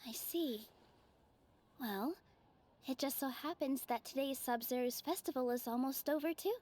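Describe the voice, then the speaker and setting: A woman voicing a gentle young female dancer in a video game, telling an old tale in a soft, measured way. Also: high voice, a little hiss or hum, close to an everyday voice. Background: game music.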